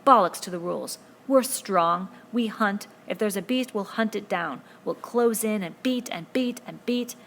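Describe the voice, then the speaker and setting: A woman voicing an aggressive, defiant boy who leads the hunters, shouting his words with scorn. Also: medium pitch, moderate pace, vocal fry, hardly any pauses, in a large hall, pitched above the performer's own voice. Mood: scornful.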